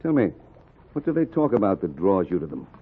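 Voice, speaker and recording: A man's voice: sits low, sing-song, a little fast. A man playing a stern, haughty British naval captain issuing threats in a historical radio drama. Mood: menacing. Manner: haughty, menacing. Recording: phone-like old recording with faint noise.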